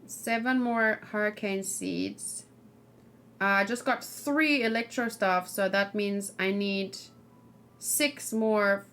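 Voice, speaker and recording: A woman doing a casual let's play, excitable and thinking aloud, often repeating her phrases as she goes. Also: mid-range voice, slow. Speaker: game streamer, host. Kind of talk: casual let's play chat and live game commentary.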